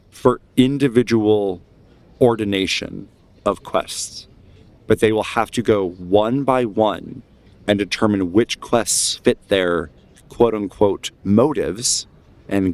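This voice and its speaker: Man playing a slow, deliberate rabble-rousing adventurer. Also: on the low side, darkish tone. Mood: earnest, persuasive. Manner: deliberate, persuasive, measured oratory. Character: earnest adventurer rallying a crowd against preordained quests.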